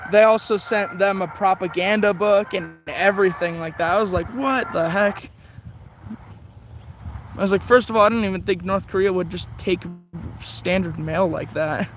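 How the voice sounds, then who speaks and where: A man with a notably high voice gives casual, rambling hobbyist commentary. He thinks out loud in a chatty way as he works a radio dial. There is faint noise behind him. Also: steady tone, normal speed. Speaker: shortwave radio hobbyist host.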